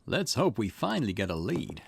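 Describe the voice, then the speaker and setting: A man voicing an excitable junior police detective, a reactive and casual sidekick in a mystery game. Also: medium pitch, moderate pace, gravelly, slight background noise. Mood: uneasy.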